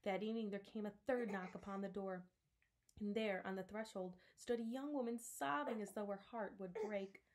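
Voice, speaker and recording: Expressive woman reading a story aloud. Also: medium pitch, moderate pace. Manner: dramatic storytelling delivery.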